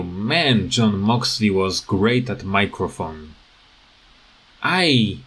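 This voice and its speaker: Slow, halting man in a casual rant. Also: lowish voice, a bit bright, slightly rough. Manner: halting, hesitant, repeats words. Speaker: video creator giving commentary.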